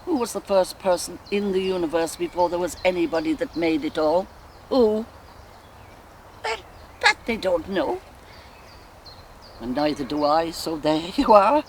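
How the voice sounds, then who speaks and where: An actress playing a sensual Irish woman lost in rapturous memory, in an expressive, musing theatrical soliloquy with an Irish accent. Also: lowish voice. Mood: scornful, playful. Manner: musing, expressive, slow and deliberate with sighs. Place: outdoors in countryside woodland. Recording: faint outdoor ambient noise. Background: faint birdsong and nature ambience.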